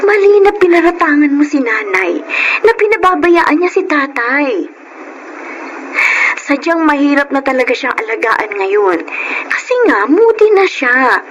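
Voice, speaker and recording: A woman with a Filipino accent acting a gentle, earnest younger sister who pleads in a radio drama. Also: high-pitched, sing-song, measured pace, background noise. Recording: loud music under studio drama voice.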